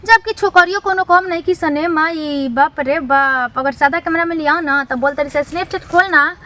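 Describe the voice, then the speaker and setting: A woman's voice: high-pitched, moderate pace, pushed and bright. A young woman with a Bihari, Bhojpuri-tinged accent, speaking emphatically as an interview guest and repeating phrases for effect. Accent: Bihari, Bhojpuri-influenced. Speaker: interview guest, comic poet and social media creator. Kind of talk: informal interview with recited satirical verse.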